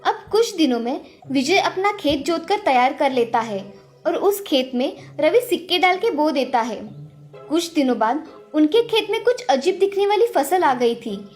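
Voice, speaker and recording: A woman narrating a scripted children's story in an expressive storytelling manner. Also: sits high, moderate pace, soft background music.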